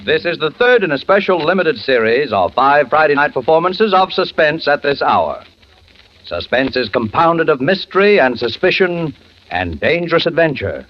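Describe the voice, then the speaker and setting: Man, 1940s radio announcer, formal suspenseful delivery, sweeping intonation, dull vintage recording. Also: a little high. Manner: formal, suspenseful, measured phrasing. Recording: muffled old radio recording.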